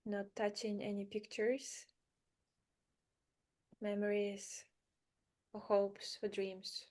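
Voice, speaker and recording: A woman leading a spiritual guided meditation in a soothing, unhurried manner, with short phrases, pauses and gentle repetition. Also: mid-range voice, completely flat delivery.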